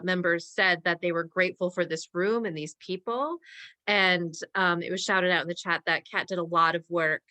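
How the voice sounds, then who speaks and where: Woman event moderator, slightly low pitch, crisp bright edge, appreciative and measured, closing an online panel. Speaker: talkback moderator. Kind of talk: closing remarks at an online talkback.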